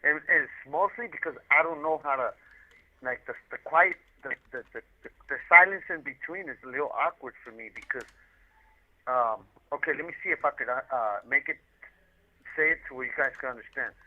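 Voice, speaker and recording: A man's voice: mid-range voice, fairly quick. A talkative man chatting casually over a phone, telling rambling, slangy anecdotes and repeating himself as he goes. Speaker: incarcerated guest calling in by collect call. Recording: muffled, tinny prison phone line.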